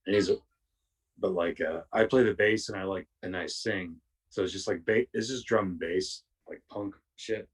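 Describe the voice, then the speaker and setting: A man with a raspy voice chatting casually as a podcast guest, self-deprecating and loose with slang and swearing. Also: low-pitched, moderate pace. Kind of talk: casual interview chat. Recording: distant from the mic.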